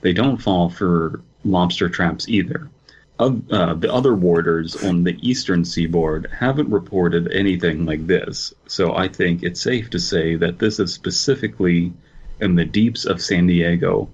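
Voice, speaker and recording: A man's voice: sits low, unhurried, a little mellow, husky, dull audio. A man co-hosting a casual podcast, joking around and reading story text aloud. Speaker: podcast co-host.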